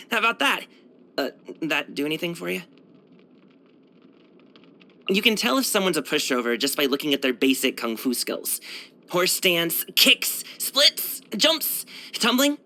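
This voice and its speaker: A man's voice: on the high side, bright, forceful tone, full character voice. A man voicing a brash, cheerful young martial arts dancer and guard in a video game, chatting casually in a slangy, boyish, self-assured way. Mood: cocky, playful. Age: young adult. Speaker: video game character voice actor. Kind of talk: casual, conversational game voice lines.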